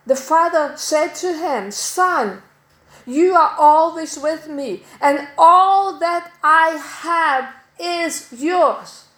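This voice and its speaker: A middle-aged woman from Denmark preaching a sermon in a church, measured and emphatic, slowing to stress key words. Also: high-pitched, fairly bright tone. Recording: echoey church hall.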